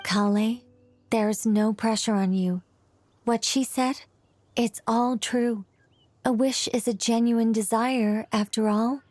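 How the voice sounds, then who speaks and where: An actress playing a caring, calm female companion in a video game, speaking in a measured, reassuring manner. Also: a little high.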